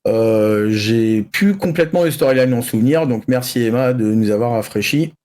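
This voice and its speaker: A man's voice: mid-range voice, moderate pace, darkish tone. A man chatting casually and informally, with some slang, as a columnist on a panel show about geek news. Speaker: columnist on an anime and manga show.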